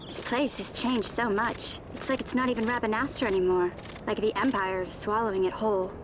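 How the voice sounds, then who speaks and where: A woman's voice: medium pitch, fairly even intonation, fairly quick, noisy background, natural voice. A woman playing a sensible, teasing teenage girl in a video game, chiding and playful in a natural way. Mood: wistful.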